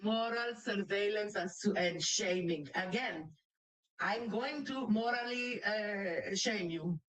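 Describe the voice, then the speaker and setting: A woman with a Greek accent delivers blunt, indignant commentary in broken English. Her pitch sits in a middle range for a woman, and she sounds a little away from the mic. She talks as if lecturing someone who is not in the room. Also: slow pace, fairly bright tone, recorded from a distance, low-quality recording. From Greece. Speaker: commentary channel host. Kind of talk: reaction commentary.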